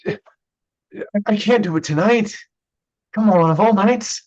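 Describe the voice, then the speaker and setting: A man's voice: high voice, unhurried, darkish tone, dull audio. A man playing a nervous, evasive young man hiding his drinking, stammering defensively in a staged play reading. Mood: defensive, pleading.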